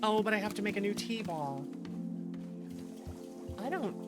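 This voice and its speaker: A woman's voice: mid-range voice, lively intonation, unhurried. A woman streaming a video game, chatting casually and thinking aloud as she plays, with short exclamations and muttering to herself. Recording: loud game music behind. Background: loud game music.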